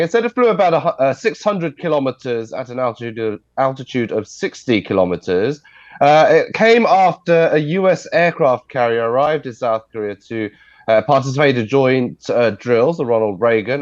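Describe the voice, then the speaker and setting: A man briefs the news from a video call, his voice congested from a cold. The audio is dry and slightly dull, and he explains at an even, unhurried pace, filling gaps with uh and um. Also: medium pitch, no echo. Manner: steady explanatory delivery with frequent uh fillers. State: congested, stuffy nose. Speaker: news correspondent.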